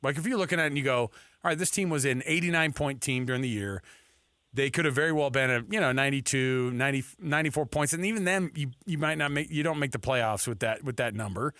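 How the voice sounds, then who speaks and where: Male sports host, mid-pitched, casual banter, tight dry studio sound. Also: fast, a bit bright, no echo. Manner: informal, conversational. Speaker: sports talk radio host.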